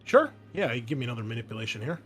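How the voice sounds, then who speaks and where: A man's voice: mid-range voice, big pitch swings, very fast. A man explaining tabletop game rules casually as game master, thinking aloud as he goes. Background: background music.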